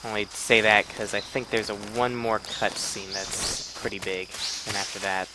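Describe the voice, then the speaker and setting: Casting: male amateur gameplay commentator, slightly low pitch, informal delivery, noisy recording with game ambience. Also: steady tone, normal speed. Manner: offhand, informal. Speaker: gamer doing a playthrough.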